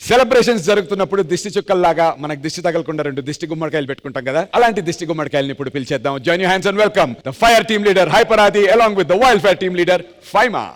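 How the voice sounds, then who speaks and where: A man hosting a stage entertainment show with animated, announcer-like delivery and comic banter. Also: sits high, quick pace, few pauses. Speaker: dance show host. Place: TV studio stage. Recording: loud music behind voice.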